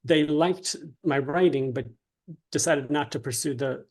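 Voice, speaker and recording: A man answering interview questions casually, in a hesitant, halting and polite way. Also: fairly high pitch, darkish tone. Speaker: interview guest, dramatist and author.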